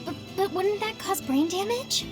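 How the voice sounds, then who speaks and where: A woman's voice: very high voice, lively intonation, moderate pace, over music. A woman playing a determined young soldier in a video game, earnest, emotional and loyal to friends. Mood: worried. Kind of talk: anime-style video game cutscene acting.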